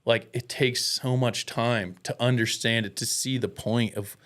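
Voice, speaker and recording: A man's voice: medium pitch, steady tone, a little slow, fairly bright tone. American man, mid-range voice, interview guest. Informal, earnest, self-deprecating storyteller. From United States. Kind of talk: casual, candid personal storytelling.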